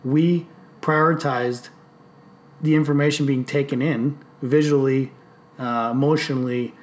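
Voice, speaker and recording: Animated, foul-mouthed man in his thirties. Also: medium pitch, measured pace. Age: 30s. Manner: animated, swearing freely, storytelling. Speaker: podcast host. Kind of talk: casual podcast chat.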